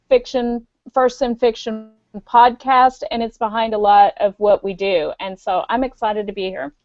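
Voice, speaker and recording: Woman author guest, sincere and upbeat, higher pitch, dull lo-fi call sound. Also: no room sound. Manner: earnest, encouraging. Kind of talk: casual interview talk. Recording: dry, dull lo-fi call audio.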